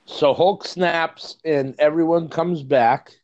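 A man's voice: fairly high pitch, fairly even intonation, takes it slowly, darkish tone. Casual male podcast co-host, slightly dull audio. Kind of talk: casual movie review chat.

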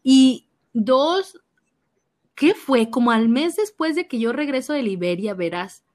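Young Mexican woman, youth minister, fairly high voice, animated storyteller acting out her own reactions. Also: takes it slowly. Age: young adult. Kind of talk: personal testimony in conversation.

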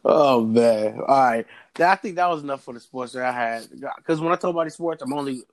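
A man's voice: mid-range voice, fairly quick. Laughing, profane young man from Florida. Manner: profane, laughing, animated storytelling. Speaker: podcast co-host. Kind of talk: casual podcast banter.

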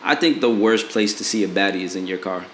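Low-voiced blunt man in an echoey room. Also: fast talker. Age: 20s. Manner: blunt, rambling, frequent fillers and restarts. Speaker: podcast co-host. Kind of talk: casual advice chat. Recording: echoey room with faint noise.

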